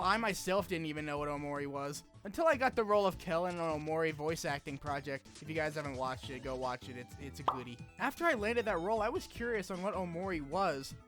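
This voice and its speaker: A man hosting a video essay, mixing scripted narration with casual, joking asides and some swearing. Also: sits high. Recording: music behind the voice. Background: background music.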